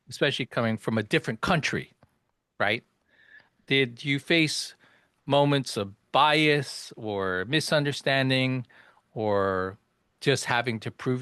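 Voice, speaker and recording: A man's voice: mid-range voice, sharp tone, clear, resonant tone, no echo. Hesitant male podcast host, dry sound. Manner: hesitant, many "um" and restarts. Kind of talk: interview questions, casual.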